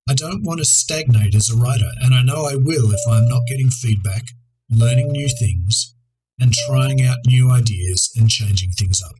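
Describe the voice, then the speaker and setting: Male narrator for an educational piece: medium pitch, articulate, deliberate delivery of scripted advice. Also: poor audio. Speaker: writer presenting an educational video.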